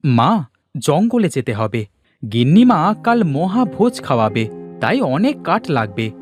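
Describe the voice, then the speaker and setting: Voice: medium pitch, sing-song, unhurried, darkish tone, faint music behind. A performer voicing a kind, dutiful village boy in a cartoon, earnest and eager in manner. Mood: reassuring.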